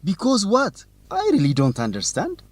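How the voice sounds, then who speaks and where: A lively, teasing young reporter. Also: male voice, sits high, normal speed, no echo, heavily acted. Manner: teasing, incredulous, determined. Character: teasing, suspicious young male student newsletter reporter. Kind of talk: educational radio drama acting.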